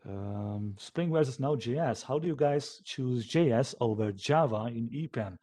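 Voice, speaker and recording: A man, a developer informally answering questions after a talk in a hesitant, halting way, as if thinking aloud. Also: mid-range voice, warm tone. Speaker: software developer giving a conference talk.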